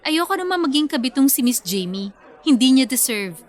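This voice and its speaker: A woman's voice: high-pitched, big pitch swings, moderate pace. A woman acting a moody, teasing young bride-to-be with a Filipino accent in a radio drama. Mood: playful prying. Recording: music bed under the voice.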